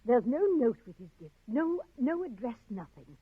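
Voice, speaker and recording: An aging grande dame of the stage, fluttery and wistful, in a very high voice with a husky edge. It is old radio drama, thin and boxed-in like a phone line. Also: female voice, big pitch swings, normal speed, no room sound. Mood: wistful delight. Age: older. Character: wistful, fluttery aging former actress, wealthy wife. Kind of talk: 1950s radio drama acting. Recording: muffled, narrow-band 1950s radio recording.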